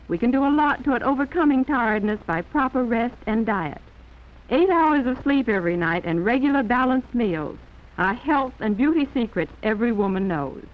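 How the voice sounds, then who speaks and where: An American woman reading scripted 1940s instructional film narration in a formal, instructive manner. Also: on the high side. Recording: muffled, tinny 1940s film soundtrack.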